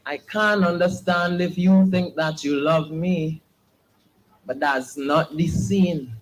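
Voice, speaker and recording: A young man, performing spoken word poetry with a slow, earnest, pleading delivery at an open mic. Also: a little high, rough recording. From Trinidad and Tobago.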